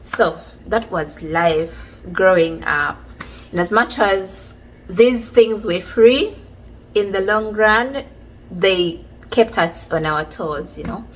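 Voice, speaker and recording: A woman, casually telling stories from her childhood in a chatty, relaxed way. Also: mid-range voice, takes it slowly, poor audio. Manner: chatty, relaxed, many fillers like "like" and "you know". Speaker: vlogger. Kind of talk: casual storytelling about childhood.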